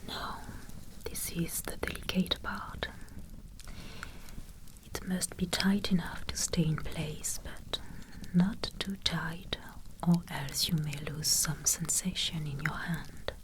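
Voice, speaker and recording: Softly whispering woman, gentle healer roleplay. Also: deep voice, steady tone, poor audio. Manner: gentle, reassuring, unhurried. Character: gentle medieval noblewoman healer tending a wounded soldier. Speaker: ASMR roleplay performer.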